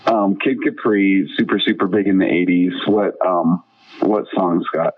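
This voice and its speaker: Male phone guest, somewhat low voice, dull distant line, talkative. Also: steady tone, normal speed. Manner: talkative, storytelling, trails off and restarts. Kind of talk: casual chat.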